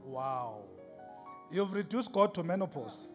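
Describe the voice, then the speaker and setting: A man's voice: fairly high pitch, expressive, slow. A man preaching an impassioned sermon in an emphatic, repetitive, rhetorical style. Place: large reverberant indoor worship space. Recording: hall reverb with music behind.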